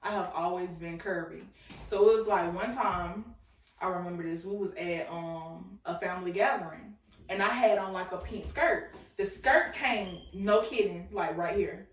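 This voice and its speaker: A woman's voice: lowish voice, normal speed. A woman in her late twenties co-hosting a podcast, chatting loosely and telling stories with lots of laughter. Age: 20s. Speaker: podcast co-host. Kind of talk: casual chat. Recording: echoey room, mic at a distance.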